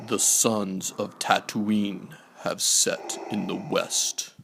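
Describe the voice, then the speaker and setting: A man reads a bedtime storybook very slowly, in a low, hushed voice with a gravelly creak. His delivery is measured and follows the rhyme. There is some noise in the recording. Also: level tone. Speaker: storybook narrator. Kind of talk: bedtime story read-aloud.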